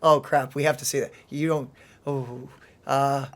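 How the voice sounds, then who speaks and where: A playful, excitable gaming co-host chatting casually through a let's play. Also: medium pitch, unhurried, very noisy.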